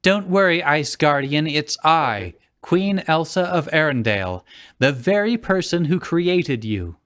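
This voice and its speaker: A man's voice: on the high side, expressive, measured pace, a bit bright, pitched above the performer's own voice. A man voicing an earnest, determined young ice queen with urgent, regal authority in a comic fan fiction reading. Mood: commanding.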